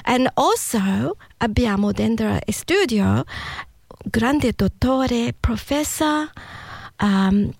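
A woman's voice: on the high side, expressive, takes it slowly, gravelly, dry recording. Bubbly woman radio host. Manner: warm, switches between Italian and English, laughs often. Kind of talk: bilingual community radio chat.

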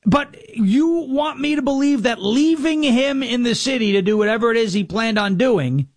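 Indignant high-voiced talk radio man. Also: animated, normal speed, dry recording, studio quality. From New York, Long Island. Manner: sarcastic, indignant, mocking impressions. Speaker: radio talk show host. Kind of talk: political talk radio monologue.

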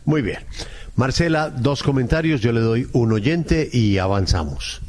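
A man's voice: mid-range voice, expressive, measured pace, darkish tone. A man hosting a casual radio show, putting short, teasing questions and remarks to his panel. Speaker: radio host.

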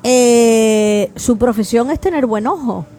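A woman hosting a radio interview in a lively, talkative way. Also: a little high, slow pace, slight background noise. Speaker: radio host.

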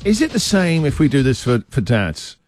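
A man's voice: mid-range voice, big pitch swings, moderate pace, music in the background. A man hosting breakfast radio, playful and teasing as he chats with callers. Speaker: radio host.

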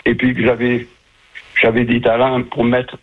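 A man's voice: medium pitch, monotone, moderate pace. A man in his seventies from southern France, a retired chef chatting and telling stories as an interview guest over the telephone. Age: 70s. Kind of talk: casual interview answers. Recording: muffled, narrow-band phone line.

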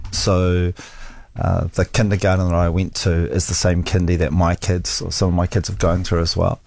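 Middle-aged New Zealand man, very deep, gravelly, dry studio sound, relaxed and candid. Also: no echo. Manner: relaxed, candid, self-deprecating. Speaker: guest. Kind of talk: casual interview storytelling. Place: radio studio.

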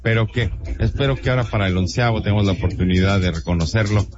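A man's voice: lowish voice, quick pace. A man with a Mexican accent hosting a casual radio interview in a chatty, courteous way. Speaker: radio show host. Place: busy cultural fair, live remote broadcast. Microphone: interview microphone with headphones. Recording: dull lo-fi sound with music behind.

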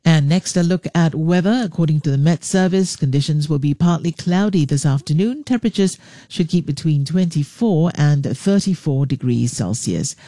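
A radio announcer giving a smooth, scripted traffic and weather read. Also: mid-range voice, expressive, normal speed, mellow, no echo, clean studio sound. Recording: radio announcement over music.

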